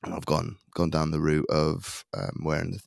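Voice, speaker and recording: A man's voice: a bass voice, level tone, normal speed, gravelly, dry recording, clean studio sound. A man hosting a podcast, asking questions in a rambling, conversational way that drifts into tangents. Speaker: podcast host. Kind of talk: conversational interviewing.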